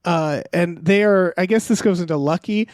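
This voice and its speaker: A man's voice: high-pitched, moderate pace, a little mellow, dry recording, studio quality. A man co-hosting a podcast in a casual, comedic recap style, rambling and incredulous, with some offhand swearing. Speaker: podcast co-host.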